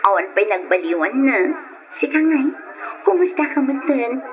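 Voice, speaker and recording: A woman's voice: high voice, sing-song, normal speed. An actress playing a practical young Filipino woman dissatisfied with her poor boyfriend in a radio drama. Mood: cheerful, chatty. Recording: muffled noisy old radio recording.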